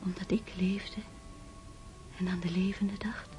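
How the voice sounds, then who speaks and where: An actress playing a gentle, grieving sister in a literary radio drama, speaking in a measured, solemn, restrained way. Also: female voice, fairly low pitch, level tone, over music, natural voice. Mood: sorrowful, reflective. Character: Maria, grieving gentle sister of a dying man.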